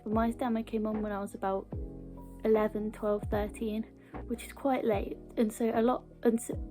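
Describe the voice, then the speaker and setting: A woman's voice: mid-range voice, flat delivery, moderate pace, warm tone. A young British woman with a mild stammer who talks earnestly to camera in a casual personal style and sometimes repeats small words. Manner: earnest, with small word repetitions. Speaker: video blogger. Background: background music.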